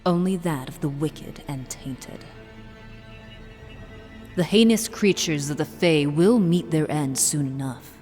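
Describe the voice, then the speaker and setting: A menacing female leader of a secret order, played by a woman, speaks low and very slowly. Her delivery is imperious and solemn, audio-drama style, with long hall reverb around it. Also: darkish tone. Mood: fierce, commanding. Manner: measured, imperious. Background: eerie horror music.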